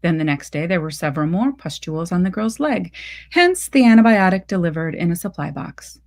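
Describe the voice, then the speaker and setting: A woman reading a literary short story aloud in measured, deliberate phrases. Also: lowish voice, lively intonation, a little mellow.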